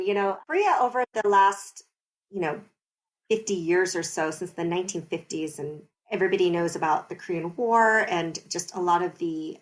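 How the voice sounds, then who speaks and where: Animated woman telling stories. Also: fairly low pitch, low-quality recording. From United States. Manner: animated storyteller, laughs often, explains at length. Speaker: guest. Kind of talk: conversational podcast interview.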